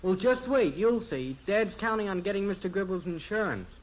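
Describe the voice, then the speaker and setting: A teasing American teenage boy in a 1950s radio sitcom. He is defensive and wisecracking, his pitch jumping about, on a muffled old recording. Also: animated, moderate pace. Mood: grumbling.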